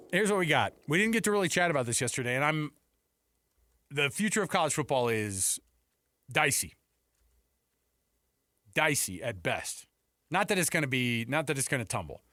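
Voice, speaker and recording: Animated man co-hosting sports radio. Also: a little high, no echo, clean studio sound. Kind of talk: casual sports talk banter.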